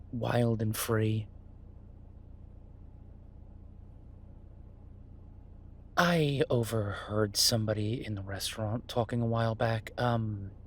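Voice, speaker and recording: A man's voice: mid-range voice, slight background noise. A man acting as an easygoing chef talking to a partner in a naturalistic audio roleplay, conversational, hesitant and wry. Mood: gentle reassurance. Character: Beau, easygoing male chef, reassuring his werewolf partner. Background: ambient sound effects.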